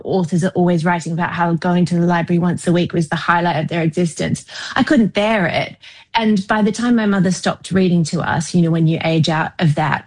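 A woman in her forties from New Zealand talks as a guest in a relaxed interview. Her voice sits fairly low, and her delivery is articulate and wry, sprinkled with casual asides. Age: 40s. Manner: articulate, wry, reflective, with frequent "you know" asides. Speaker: novelist guest.

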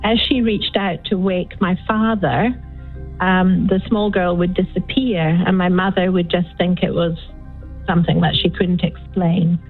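An older Scottish woman calling in to a radio show, telling a story in a chatty, unhurried way with plenty of ums. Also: lowish voice, level tone. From Scotland. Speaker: caller. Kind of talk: phone-in storytelling. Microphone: phone. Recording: tinny phone line with faint music.

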